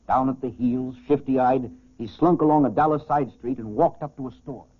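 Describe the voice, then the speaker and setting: A man's voice: medium pitch, normal speed, lightly acted. A man as a sober police chief, giving scripted dramatic narration of a crime case on 1940s radio. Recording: muffled, boxy 1940s radio recording.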